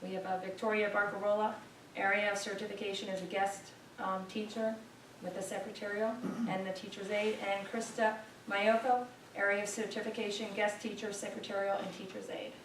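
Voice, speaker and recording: A woman's voice: mid-range voice, level tone, a little fast. A woman who is a board member reads formal motions and lists aloud at a meeting, away from the microphone. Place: meeting room. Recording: echoey noisy room, distant microphone.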